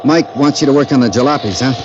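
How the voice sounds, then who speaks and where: Man playing tough mechanic, medium pitch, slangy, nervy, streetwise, 1950s radio crime drama, muffled and noisy. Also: quick pace, close to an everyday voice. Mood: brisk, knowing. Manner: slangy tough-guy talk.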